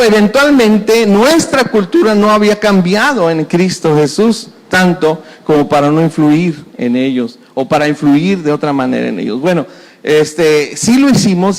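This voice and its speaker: A middle-aged pastor preaches in a fairly high voice. He speaks conversationally and repeats himself often. The sound carries the long echo of a church hall. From Mexico. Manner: conversational, with frequent repetitions and folksy sayings. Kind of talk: sermon.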